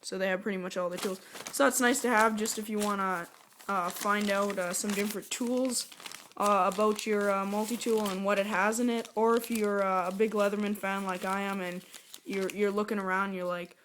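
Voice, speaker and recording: Woman, scratchy sore-throat voice, steady monotone, chatty rambling unboxing vlogger. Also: medium pitch, moderate pace. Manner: rambling, chatty, self-aware. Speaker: product reviewer. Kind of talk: casual unboxing review.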